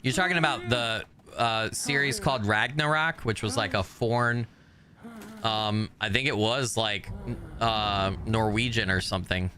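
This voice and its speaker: A man's voice: lowish voice, a little slow, pushed and bright. A man chatting casually and informally as he livestreams a video game. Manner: informal, conversational. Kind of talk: casual live stream chat. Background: game music.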